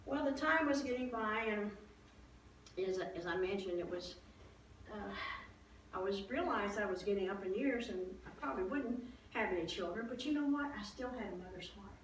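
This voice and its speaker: A woman playing an aging, wistful wife of an ancient priest, telling her life story in a chatty, halting, folksy way as a dramatized Bible monologue. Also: mid-range voice, lively intonation, close to an everyday voice. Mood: hurting, wistful. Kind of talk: first-person dramatized Bible storytelling to an audience. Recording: echoey room, distant mic, faint noise.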